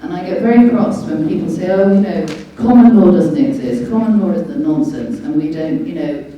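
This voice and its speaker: A woman's voice: fairly low pitch, fairly quick, dull audio. A woman giving an impassioned, emphatic public talk on legal matters, speaking as a lawyer at a meeting. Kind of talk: impassioned public speech explaining law. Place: indoor public meeting venue. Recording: echoey room, distant microphone.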